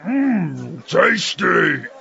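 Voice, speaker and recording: An actor playing a loud, boastful, dim-witted barbarian who shouts in broken third-person speech for a comedy audio drama. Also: male voice, high-pitched, big pitch swings, drawn-out words, gravelly, full character voice. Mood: jovial. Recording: dull lo-fi audio with music underneath.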